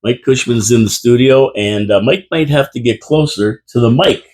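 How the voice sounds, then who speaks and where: A man's voice: medium pitch, normal speed, darkish tone. A man hosting a live local radio talk show from a small studio, chatty and rambling with lots of restarts. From Canada. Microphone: studio microphone.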